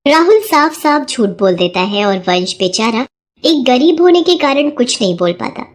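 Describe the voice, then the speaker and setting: A woman narrating a children's moral story in an even storybook manner. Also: sits high, moderate pace.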